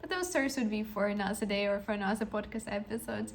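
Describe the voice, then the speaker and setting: A woman's voice: mid-range voice, fast talker, a little hiss or hum. A woman in her twenties, a podcast host telling a personal story in an earnest, encouraging way with frequent restarts. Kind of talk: reflective personal storytelling monologue.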